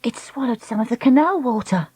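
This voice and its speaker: A woman voicing a tough, guarded young girl in a storybook, bossy and curt, quick to snap. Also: fairly high pitch, lively intonation, no echo, pitched above the performer's own voice. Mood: alarmed. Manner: curt, snappy, insistent.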